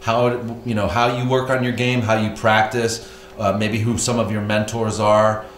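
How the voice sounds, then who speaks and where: Hesitant low-voiced male interviewer. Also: flat delivery, a little hiss or hum. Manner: hesitant, many "uh" pauses and restarts. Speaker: interviewer, host of the channel. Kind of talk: casual interview questions. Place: room in a private house. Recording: roomy echo, faint room ambience.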